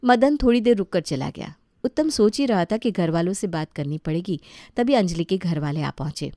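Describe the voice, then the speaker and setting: A woman's voice: lowish voice, lively intonation, moderate pace, warm tone, no echo, studio quality. A woman narrating a scripted family comedy story as a storyteller.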